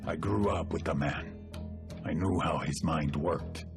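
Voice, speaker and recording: Cold cartel boss for a game, super low gravelly voice, slow menace. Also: male voice, music in the background, exaggerated voice. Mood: brooding, menacing. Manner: measured, philosophical, menacing. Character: cold calculating cartel boss, brooding and ruthless. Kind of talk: dramatic game monologue.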